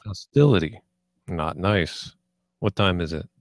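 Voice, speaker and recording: A man's voice: deep voice, lively intonation, measured pace, dry recording, studio quality. A man reading the part of a cocky, menacing, sarcastic young drug dealer in a screenplay table read. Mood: smug. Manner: sarcastic, foul-mouthed, taunting.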